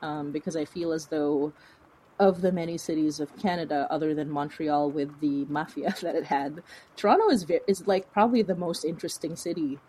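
A woman with a slightly low-pitched voice speaks as a creative guest in a relaxed interview. She rambles informally and with animation, and has a giggly way of talking. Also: fairly quick, slightly rough, rough recording. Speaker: podcast creator and writer being interviewed. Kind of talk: casual conversational interview.

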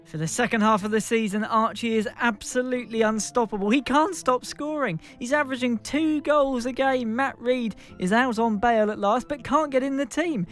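Man from england, game master voice, high pitched, slightly hoarse, mock-dramatic storytelling, faint music underneath. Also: normal speed, few pauses, fairly bright tone. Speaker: podcast co-host and game master. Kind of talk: comic storytelling narration of a football role-playing game.

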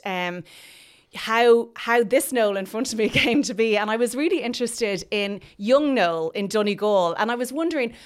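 A woman hosting a podcast, asking questions with enthusiasm. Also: a little high. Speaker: podcast host. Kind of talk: casual chat.

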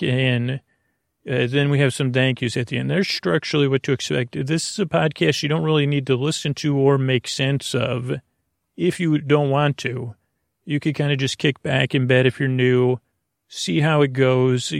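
A man with a gravelly, creaky voice at an ordinary male pitch hosts a sleep podcast in a close, dry recording. He rambles casually, stammering and wandering off into tangents. His manner is hesitant and gently reassuring. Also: dry recording. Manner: meandering, hesitant, self-interrupting with stammers and tangents. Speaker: podcast host.

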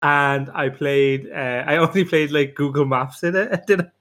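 A man's voice: on the high side, fairly even intonation, normal speed, fairly bright tone. A man bantering casually as a panelist on a podcast.

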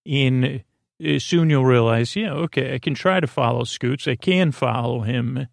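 A man speaks in a gravelly, creaky voice, close and dry with hardly any room sound. He hosts a bedtime podcast as a rambling, improvised monologue. His delivery is soothing and halting, wandering off into tangents. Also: mid-range voice, dry recording. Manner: meandering, halting, trails off into tangents; soothing, lulling. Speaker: podcast host.

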